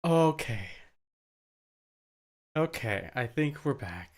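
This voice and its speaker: A man's voice: mid-range voice, big pitch swings, slow. A man giving casual live commentary on a game stream, chatting with a friend and often repeating himself. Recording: music playing behind the voice. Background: video game music.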